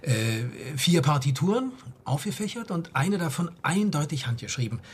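A man's voice: fairly high pitch, big pitch swings, a little hiss or hum. Hesitant young male student. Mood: hesitant, earnest. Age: young adult. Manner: hesitant, halting explanations. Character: nervous, eager young male musicology student. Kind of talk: naturalistic German radio crime drama.

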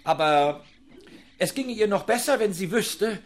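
An actor playing an obliging middle-aged tailor in a German radio play, chatty, eager to please and flattering. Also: male voice, sits high, a little slow. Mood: flattering, deferential.

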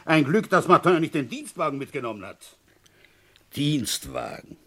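An actor playing a world-weary middle-aged village police commissioner in a radio crime drama, with a dry, grumbling, sardonic manner. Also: male voice, on the high side, very animated, moderate pace, bright, forceful tone, full character voice. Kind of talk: German radio crime drama, first-person narration and dialogue.

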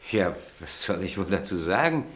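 A courteous gentleman under suspicion in a radio play. His deep voice moves in lively curves. He stays mild, obliging and faintly ironic, entirely unruffled. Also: normal speed. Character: unruffled, courteous gentleman suspect fond of coziness. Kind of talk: German radio play acting.

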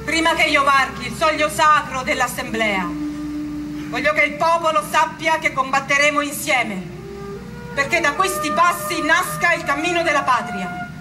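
A woman's voice: high-pitched, a little slow, distant mic, poor audio. Solemn woman narrator over music. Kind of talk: dramatic scripted narration and declamation.

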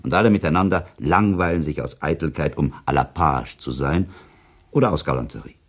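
A man's voice: extremely low pitch, fairly quick, slightly rough. An actor playing a cynical, impatient male listener who contradicts in a dry, argumentative manner, in a literary radio play. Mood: sardonic, world-weary.

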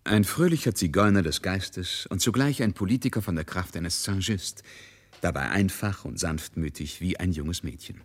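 Deep voiced guy narrating a german radio play, literary storytelling style. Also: animated, normal speed.

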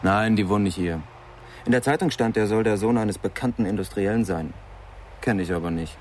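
A man's voice: on the low side, brisk, a little mellow, a little hiss or hum, lightly acted. An actor playing a cheeky teenage Parisian errand boy in a radio play, laconic and casual. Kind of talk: crime radio drama dialogue.

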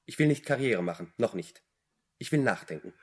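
A self-important young dropout in a radio drama. His voice is fairly low and rough-edged, with very animated intonation. He broods and argues pompously. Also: fairly quick. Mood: earnest, probing. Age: young adult. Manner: pompous, argumentative. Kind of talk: German radio play acting.

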